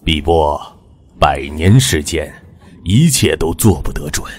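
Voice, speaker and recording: An actor playing an old bearded male immortal, calm and scheming, with slow, measured counsel. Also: a bass voice, exaggerated voice. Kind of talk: audio drama voice acting.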